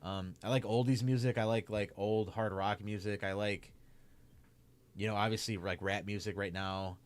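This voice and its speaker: A man's voice: fairly low pitch, steady tone, normal speed. A young man with a Chicago accent chatting casually to his stream while gaming, rambling with lots of "like" and "man". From Chicago area. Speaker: streamer and YouTuber.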